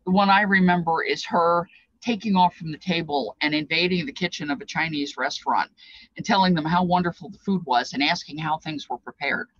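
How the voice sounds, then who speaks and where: A woman's voice: low-pitched, a little fast. Older woman, informal explainer, rambling, reflective, reminiscing, lo-fi webcam sound. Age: older, past fifties. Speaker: presenter explaining feast dishes. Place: small cluttered craft room, indoors. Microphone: webcam at desk distance.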